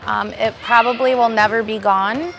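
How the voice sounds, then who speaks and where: A woman speaks casually as an interviewed parent. Her voice is a little high, with music clearly playing behind it. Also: steady tone, normal speed, poor audio.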